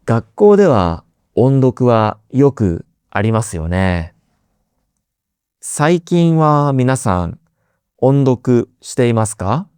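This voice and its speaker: A man hosting a language-learning podcast, explaining things slowly and simply, repeating key words and asking listeners friendly questions. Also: mid-range voice, big pitch swings, darkish tone, clear, resonant tone, no echo, clean studio sound. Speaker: Japanese teacher, podcast host.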